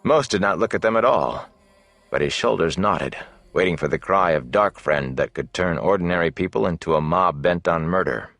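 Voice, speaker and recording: A man narrating a fantasy novel as an audiobook in a measured, dramatic way. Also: sits low, fairly bright tone, no room sound. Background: faint music.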